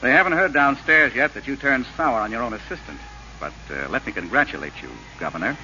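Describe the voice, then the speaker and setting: An actor playing a corrupt, resentful assistant prosecutor in old radio drama, with a sardonic, needling manner. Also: male voice, medium pitch, animated, brisk, pushed and bright. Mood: sly, dry. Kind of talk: 1930s radio acting. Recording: muffled old radio recording with hiss.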